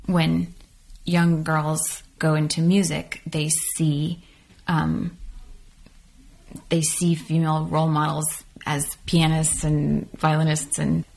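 A soft-spoken woman in her twenties, a composer and graduate student, speaking thoughtfully in an interview with some hesitations. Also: low-pitched, flat delivery, slow, gravelly, rough recording. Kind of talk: interview excerpt.

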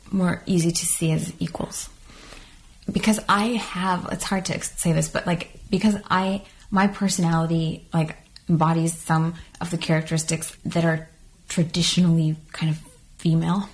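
Soft-voiced young woman with a creaky edge. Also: fairly low pitch, flat delivery, normal speed. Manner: thoughtful, hesitant, with fillers. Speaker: composer and doctoral student. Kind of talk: interview excerpt.

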